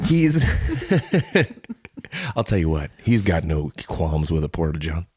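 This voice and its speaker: A man's voice: low-pitched, sing-song, slow pace, darkish tone, husky, no echo, studio quality. A man co-hosting a podcast in casual comic chat, with a playful, joking manner. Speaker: podcast co-host.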